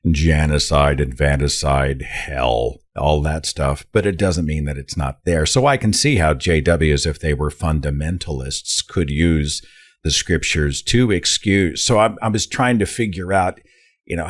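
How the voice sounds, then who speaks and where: A man hosting a podcast interview, asking questions in an animated, conversational way. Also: rumbling low, measured pace. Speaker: podcast host.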